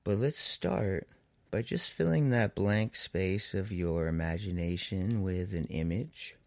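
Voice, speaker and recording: A man leading a guided meditation as a therapist, in a soothing manner with long pauses between phrases. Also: low voice, gravelly, a little mellow, no room sound.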